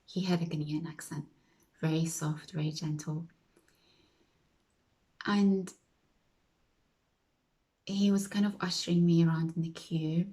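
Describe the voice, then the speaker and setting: A woman's voice: deep voice, steady tone, unhurried, long pauses, mellow. A woman vlogger chatting casually to her viewers, telling a story with frequent self-corrections and stretches that drop to a whisper.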